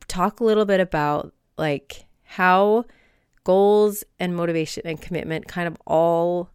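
A woman in her forties hosting her own podcast, chatting in a rambling, friendly way with soft laughs, a little hoarse from a cold. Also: lowish voice, no room sound, studio quality. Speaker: podcast host. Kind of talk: casual solo podcast chat.

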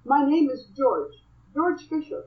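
A woman's voice: sits high, sing-song, unhurried, recorded from a distance. A woman voicing a shy, nervous young man who repairs shoes, speaking hesitantly and sometimes stammering. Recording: muffled, echoey room.

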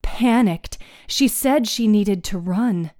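A woman narrating a scripted story recap in a measured, dramatic storytelling manner. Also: medium pitch, a little mellow, no echo, studio quality.

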